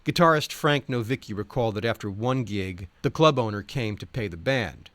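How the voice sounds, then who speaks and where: A man reading scripted nonfiction in a wry, expressive storytelling manner. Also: on the low side, normal speed, no echo. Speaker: audiobook reader. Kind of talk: scripted nonfiction narration with acted quotes.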